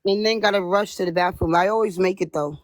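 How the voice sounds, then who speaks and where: A hoarse woman streamer chatting casually, giggly and playfully teasing her friend. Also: mid-range voice, fairly even intonation, quick pace, no echo. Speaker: livestreamer. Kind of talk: casual livestream chat.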